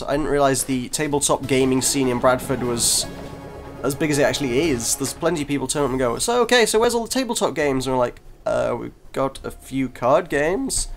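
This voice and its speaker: A congested man, hosting a gaming video with casual, self-deprecating chat that breaks off mid-sentence. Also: medium pitch. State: congested from a cold. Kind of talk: casual gameplay commentary. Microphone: desk microphone.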